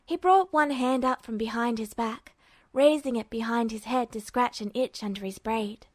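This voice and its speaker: A woman narrates a piece of fiction in a fairly high voice. The reading is scripted storytelling, recorded close and very dry, with no room sound. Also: moderate pace, darkish tone, dry recording. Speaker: narrator, fan fiction reader.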